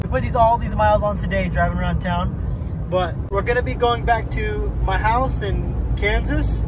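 Chatty young man in a noisy truck. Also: high voice. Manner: upbeat, chatty, with fillers and restarts. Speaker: vlogger reviewing his new truck. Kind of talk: casual vlog talk. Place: inside a moving truck at night. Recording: road and engine noise.

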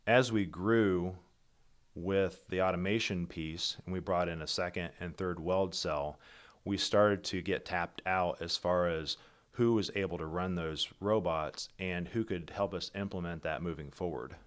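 Middle-aged male presenter, very low voice, steady and businesslike, keynote over slides. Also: a little slow. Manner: steady, methodical, businesslike, with occasional slips and restarts. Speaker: company founder presenting.

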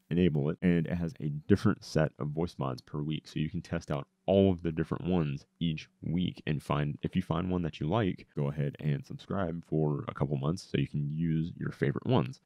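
A man giving a casual, upbeat software review into a close microphone. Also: very deep voice, creaky, no echo, lo-fi sound. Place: indoor home streaming setup. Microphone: close boom-arm microphone.

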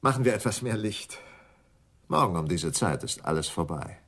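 An actor playing a cool, calculating husband and plotter in a radio crime drama, speaking in a controlled, commanding way. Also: male voice, low voice, animated, moderate pace, loud music behind the voice. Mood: reassuring.

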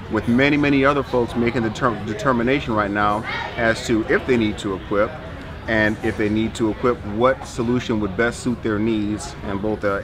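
American man briefing amid crowd chatter. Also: mid-range voice. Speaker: aviation official explaining a program. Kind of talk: informational briefing to camera. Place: exhibit booth in a large indoor hall. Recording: busy exhibit hall chatter.

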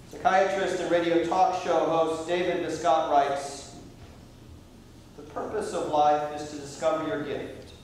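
A middle-aged American priest preaching in a high voice with a measured, unhurried delivery. He is heard from far across a large church, his words ringing with heavy echo. A faint hiss sits under the sound. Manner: measured preaching, reading quotations slowly. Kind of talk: sermon. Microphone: far camera at the back of the church.

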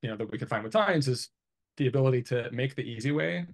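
A man's voice: mid-range voice, expressive, fast talker. A man in his thirties explaining things casually in an interview, thinking aloud in a conversational way, on a video call from a small room. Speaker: interviewee, IT engineer. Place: small home office, indoors.

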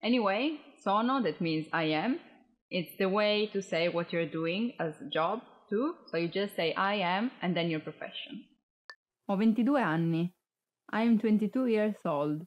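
A woman's voice: medium pitch, expressive, a little slow, highs cut off. A woman in her early twenties with an Italian accent, a native Italian speaker, teaching a language lesson to camera. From Italy. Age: early 20s. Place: indoors, in front of a flag backdrop.

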